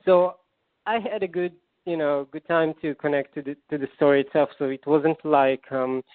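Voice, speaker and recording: A man's voice: fairly high pitch, fairly even intonation, no echo. An Israeli man speaking English as a second language, circling his thoughts with lots of "um" and repeated words. He comes through a narrow, phone-like line. The sound is dry, with no room around it. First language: Hebrew. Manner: halting, many fillers and restarts. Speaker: singer-songwriter guest. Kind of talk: casual interview answers.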